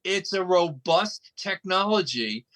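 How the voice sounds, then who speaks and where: A man's voice: high-pitched, animated, takes it slowly, a bit bright. A man speaking as an interview guest, explaining things in an emphatic, folksy storytelling way. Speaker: interview guest, radio station operator. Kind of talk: conversational explaining.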